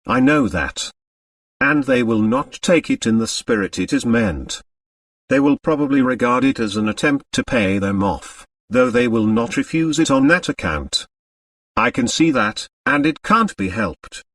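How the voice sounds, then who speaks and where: A man voicing a quiet, sensible woman who speaks politely and with understated resolve. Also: mid-range voice, huge pitch swings, measured pace, vocal fry, no room sound, clean studio sound, recorded from a distance, full character voice. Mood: gently firm. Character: quiet, gently firm, sensible woman client.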